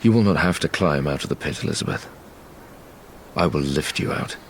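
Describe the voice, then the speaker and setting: A guilt-ridden young British gentleman scientist for a gothic audio drama. The voice is very low and creaky, grave and brooding in its literary phrasing, with faint noise and ambience behind it. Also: brisk. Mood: resolute. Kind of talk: audio drama narration and dialogue.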